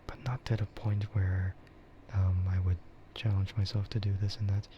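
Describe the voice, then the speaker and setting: A man streaming ASMR in a soft, breathy whisper, chatting casually and unhurriedly in a meandering way that trails off. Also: sits low, level tone, normal speed. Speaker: streamer hosting an ASMR livestream. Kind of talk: ASMR, rambling casual chat about games and anime. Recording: muffled lo-fi sound with clear noise.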